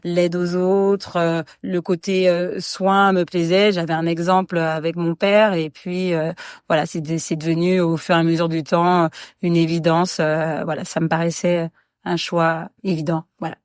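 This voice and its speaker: A woman's voice: fairly low pitch, level tone, moderate pace, smooth, dry recording, clean studio sound. A woman doctor talking about her work in a podcast interview, hesitant, with many fillers and restarts. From France, raised in Guadeloupe. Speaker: medical regulator doctor, guest.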